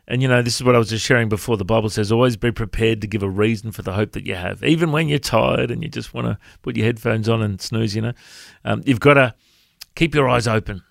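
Big-voiced Australian pastor, gravelly. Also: male voice, lowish voice, fast talker, no room sound, studio quality. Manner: storytelling, conversational. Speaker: pastor and radio presenter. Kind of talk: devotional radio talk.

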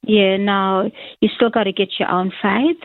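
South african-born lady in her 60s chatting on a phone line, gravelly edges, laughs a lot. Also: mid-range voice, steady tone, a little slow, no room sound. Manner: chatty, laughs often, rambling. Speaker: interview guest. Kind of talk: casual testimony interview.

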